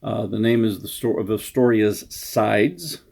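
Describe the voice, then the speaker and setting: A man speaking casually in a room, introducing their own writing in a halting, meandering, self-deprecating way. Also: on the low side, vocal fry, darkish tone. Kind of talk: casual rambling vlog intro. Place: indoor room.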